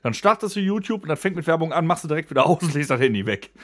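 A man's voice: on the high side, lively intonation, very fast. A man hosting a podcast in casual, joking chat, with a crude, slangy way of talking. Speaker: podcast host.

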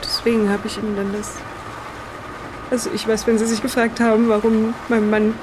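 A woman playing a shaken, distraught wife in an improvised audio drama, telling her story in a halting, confiding way. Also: a little high, level tone, noisy background, close to an everyday voice. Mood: anxious, confessing.

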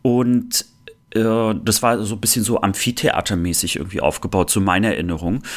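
A man's voice: lowish voice, steady tone, brisk. A man from the former Soviet Union, co-hosting a podcast, talking casually with many fillers and restarts. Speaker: podcast co-host. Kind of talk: casual chat.

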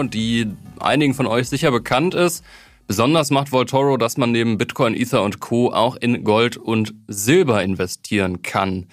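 A man hosting a podcast interview in a studio, reading a smooth intro, then asking short conversational questions. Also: medium pitch, no echo. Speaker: podcast host, editor. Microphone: studio microphone.

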